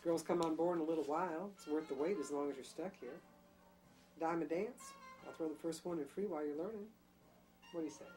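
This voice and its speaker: A woman's voice: deep voice, a little fast, warm tone, soft background music, natural voice. A woman playing a worldly, breezy co-owner of a dance hall in a drama, talking in a casual, clipped, coaxing way. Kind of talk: dramatic dialogue in an audio play or table reading.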